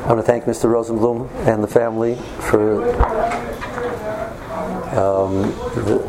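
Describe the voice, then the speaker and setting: Hoarse man lecturing in an echoey hall. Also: level tone. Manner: halting, many restarts, low-key. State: lost his voice, strained. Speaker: rabbi giving a Torah lecture. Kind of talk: informal Torah class. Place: hall with an audience. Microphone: speaker system. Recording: echoey hall, loud background noise.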